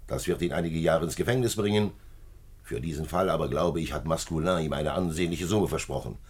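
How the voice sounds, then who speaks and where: An actor playing a calm, shrewd police commissioner in a 1950s German-language radio play, measured, patient and matter-of-fact. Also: male voice. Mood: resigned, matter-of-fact. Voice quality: gravelly, husky low voice.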